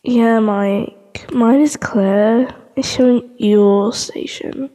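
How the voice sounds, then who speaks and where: A woman's voice: fairly high pitch, fairly even intonation, very slow, mellow, in a large hall. A woman playing a composed, matter-of-fact station operator in a sci-fi audio drama.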